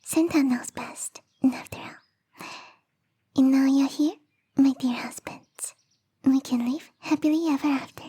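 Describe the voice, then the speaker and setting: A woman voicing a bubbly, lovestruck young female snow spirit in a soft, breathy ASMR roleplay whisper, coy and rambling. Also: high voice, fairly even intonation, unhurried, pauses often. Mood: delighted, doting.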